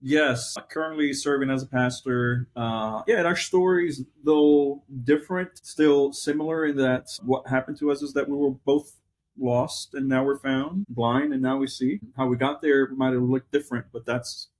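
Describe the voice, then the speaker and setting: Man telling his story in a reflective, halting way. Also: mid-range voice, steady tone, rough recording. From Colombia and New Jersey. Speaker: pastor, podcast guest. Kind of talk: conversational personal testimony.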